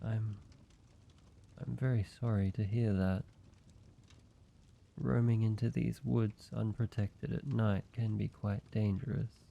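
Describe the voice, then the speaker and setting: A man playing a slow, creaky-voiced teasing vampire. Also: on the low side, fairly even intonation, muffled recording, heavily acted. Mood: sympathetic, hesitant. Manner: playful mock-menacing, teasing, drawn-out delivery. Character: teasing, ancient male vampire, courteous and flirtatious. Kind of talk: scripted roleplay audio drama.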